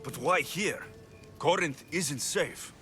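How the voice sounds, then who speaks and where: An actor playing a guarded, sardonic but protective Greek mercenary in a video game cutscene, talking in a dry, teasing way. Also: male voice, on the high side, slow, bright, forceful tone. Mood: concerned, teasing. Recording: music behind the dialogue.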